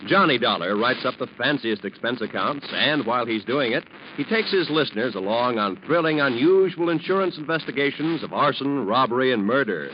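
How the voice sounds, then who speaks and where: A male announcer delivers an old-time radio promo with polished emphasis and big swings in pitch. His mid-range voice comes through a muffled vintage broadcast recording. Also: expressive, fairly quick, slight background noise.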